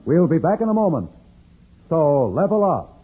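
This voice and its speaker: A man announcing and narrating a 1950s space adventure radio serial in a dramatic, deliberate manner. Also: a little high, very animated, breathy edge, exaggerated voice. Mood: dramatic, suspenseful. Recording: phone-like old radio, loud organ music.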